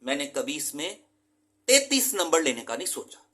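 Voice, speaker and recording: A man from Pakistan lectures his students as an English teacher, earnest and emphatic, often dropping in English terms. His voice sits a little high and sounds bright and forceful. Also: very animated, moderate pace.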